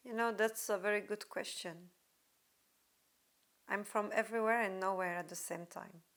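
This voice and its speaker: Woman, dancer in an interview, thinks out loud, lots of pauses. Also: mid-range voice, steady tone, soft background music. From Belgium. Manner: thoughtful, many pauses and restarts. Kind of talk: interview answers.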